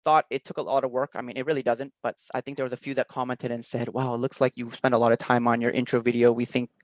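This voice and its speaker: A man's voice: medium pitch, flat delivery, quick pace, dry recording. A man chatting casually as an interview guest, explaining his work as an online teacher in loose, half-finished thoughts.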